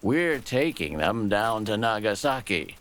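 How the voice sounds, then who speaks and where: A man voicing a gruff, scornful old Japanese soldier in a storybook tale, with emphatic, contemptuous delivery. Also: fairly low pitch, big pitch swings, a bit bright, a little hiss or hum. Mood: angry, dismissive. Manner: emphatic, contemptuous, drawn-out key words. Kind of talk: storybook character dialogue.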